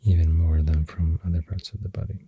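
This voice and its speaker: A soft-spoken ASMR host with a Swedish accent, rambling gently and hesitantly in a hushed, whispery, breathy voice close to the microphone. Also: low voice, steady tone, a little fast, lo-fi sound, slight background noise. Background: pencil writing and clicking sounds.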